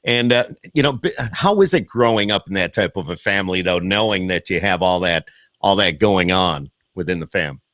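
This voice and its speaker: A man's voice: low voice, animated, normal speed, a bit bright, dry recording. A man hosting a podcast, chatting in an easygoing way with short affirming replies and questions. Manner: easygoing, affirming, brief interjections. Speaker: podcast host. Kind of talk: casual interview chat.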